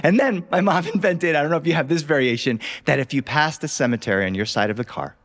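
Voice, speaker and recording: A man's voice: fairly high pitch, fast, a bit bright. A middle-aged man telling childhood stories live, conversational and engaging, often putting questions to his listeners. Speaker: storyteller. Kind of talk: live storytelling of childhood memories to an audience. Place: recording studio in front of live listeners.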